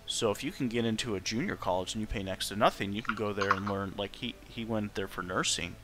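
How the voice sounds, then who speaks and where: A man's voice: lowish voice, fast talker, very noisy. A man talking casually on a stream, opinionated and emphatic in how he makes his points. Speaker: streamer chatting during a game playthrough. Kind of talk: casual chat.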